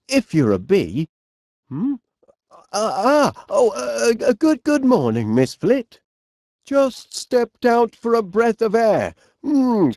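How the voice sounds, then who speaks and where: Animated, bumbling Northern English inventor. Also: male voice, high-pitched, dry recording, clean studio sound, heavily acted. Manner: hesitant, stammering politeness with quaint exclamations. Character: cheerful, bumbling middle-aged English inventor, polite and easily flustered. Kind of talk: animated comedy game voice acting.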